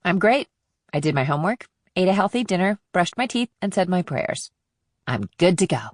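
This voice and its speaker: A defiant, streetwise teenage girl, acted by a woman in an audiobook thriller. Her voice sits on the low side and is recorded dry and close. She talks back with flippant sarcasm and keeps her guard up. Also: lively intonation, moderate pace, no echo, natural voice. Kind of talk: audiobook character dialogue.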